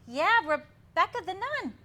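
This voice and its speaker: An actress playing a friendly, perky young wife who does hair at home, in a staged reading of a comedy play. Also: female voice, extremely high pitch, very animated, measured pace, bright, forceful tone, heavily acted. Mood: casual, agreeing. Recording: very noisy stage recording with clicks.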